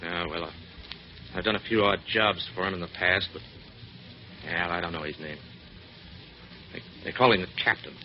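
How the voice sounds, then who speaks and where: An actor playing a rough, shady cab driver with evasive, colloquial speech in a vintage radio drama. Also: male voice, low voice, moderate pace, pauses often. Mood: cornered, evasive. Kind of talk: 1940s radio acting. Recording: dull, faintly noisy vintage radio recording.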